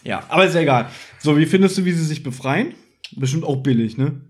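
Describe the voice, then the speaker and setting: A man's voice: on the high side, animated, quick pace. A man co-hosting a casual review chat, playfully arguing his side in a conversational way. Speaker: podcast co-host.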